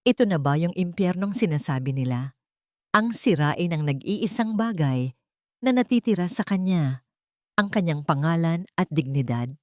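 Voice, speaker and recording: A woman's voice: lowish voice, lively intonation, normal speed, a little mellow, no echo, studio quality, lightly acted. A woman voicing a proud, wounded young working man in a dramatic story, guarded and strained. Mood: anguished.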